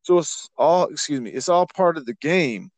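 A man's voice: fairly high pitch, animated, moderate pace, dry recording. Blunt, cursing male podcast host. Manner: blunt, repetitive, profane. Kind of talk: casual podcast chat.

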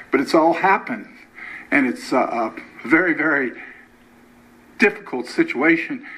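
An older man answers an interviewer's question as a former official and educator. His voice is a little high for a man, and he speaks in deliberate, halting phrases. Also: a bit bright, poor audio.

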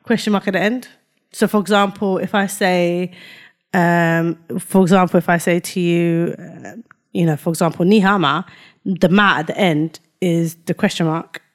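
Woman, fairly low pitch, casual podcast co-host, slangy, explanatory, conversational asides. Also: fairly even intonation, moderate pace. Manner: slangy, says fam and yeah often. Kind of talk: casual banter.

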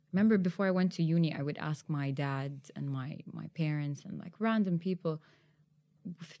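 A young woman hosting a podcast interview, animated and emphatic, often laughing as she talks. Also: on the low side. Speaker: podcast host. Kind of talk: conversational interview.

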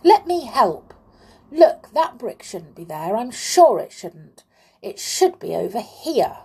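A woman's voice: a little high, very animated, takes it slowly, mellow, slightly rough, voice pushed up, heavily acted. A woman voicing a bossy, hot-tempered girl doll in a children's story, with pushy, emphatic delivery.

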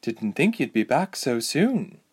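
A man's voice: mid-range voice, big pitch swings, unhurried, slightly rough, voice pushed up. A man voicing an easygoing female elf fighter with a dryly teasing manner, in a fantasy storybook. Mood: matter-of-fact.

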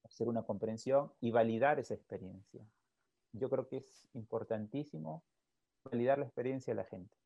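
A man, a psychologist, calmly explaining his approach in a reflective podcast talk, often ending thoughts with a questioning tag. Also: medium pitch, moderate pace, vocal fry, husky, dry recording. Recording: dull, lo-fi, dry sound.

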